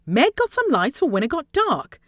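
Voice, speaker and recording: Woman reading a rhyming storybook, very animated. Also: sits high. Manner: theatrical, deliberate phrasing with dramatic emphasis. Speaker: storybook reader. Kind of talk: expressive children's read-aloud of rhyming story.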